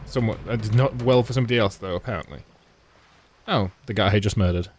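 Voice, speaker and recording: A man chats through a video game with a wry, mocking edge, poking fun at its plot and quests. Loud game music plays under his voice the whole time. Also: lowish voice, quick pace. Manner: wry, mocking, chatty asides. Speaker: let's play host. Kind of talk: sarcastic gameplay commentary.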